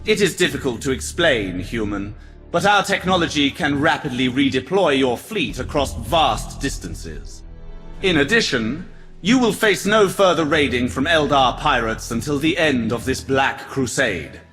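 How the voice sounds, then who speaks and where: An actor playing a proud, courteous alien leader in a video game, speaking in a formal, solemn way. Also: male voice, medium pitch, animated, unhurried, a bit bright. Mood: solemn, gracious. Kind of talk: video game cutscene acting. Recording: long reverb, music underneath.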